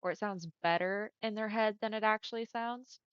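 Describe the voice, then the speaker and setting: A young woman livestreaming from home and chatting with her viewers in a friendly, easygoing way, often laughing. Her voice is smooth and on the deeper side for a woman. The sound comes through a dull headset mic with a dry, close feel. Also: moderate pace, fairly bright tone, no echo. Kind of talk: casual chat with viewers.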